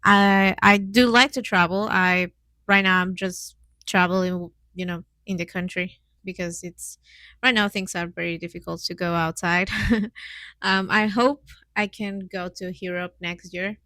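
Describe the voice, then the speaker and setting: A woman's voice: on the low side, steady tone, a little slow, bright, forceful tone, no echo. Young Mexican woman podcasting in accented English. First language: Spanish. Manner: hesitant learner's English with frequent little giggles. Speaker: podcast host. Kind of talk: casual solo podcast talk.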